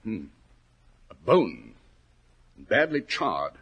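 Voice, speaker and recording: An actor playing a shrewd British gentleman detective, clipped and wry, in 1940s radio drama style. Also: lowish voice, very animated, very slow, breathy edge, exaggerated voice. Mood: brisk, decisive. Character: shrewd, dry, incisive English gentleman detective.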